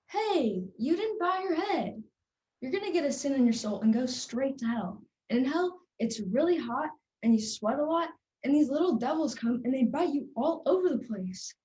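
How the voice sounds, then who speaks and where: A woman's voice: high-pitched, dark tone, slight background noise. A young woman on stage playing a naive, bossy little child who earnestly explains things in a monologue. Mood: scolding. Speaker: drama student performing a monologue. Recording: roomy, distant microphone.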